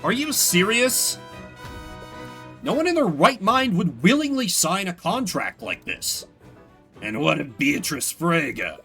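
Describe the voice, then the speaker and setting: A man's voice: high-pitched, big pitch swings, takes it slowly, bright, forceful tone, faint music behind, full character voice. A man voicing an earnest, indignant young defense attorney in a video game, emphatic and argumentative.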